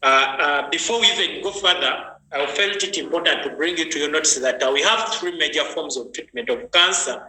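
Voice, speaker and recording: Man, very bright voice, echoey room, faint noise, chatty online host. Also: on the high side, a little fast, hardly any pauses. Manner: chatty, greets viewers by name. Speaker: health session host. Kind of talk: online training talk.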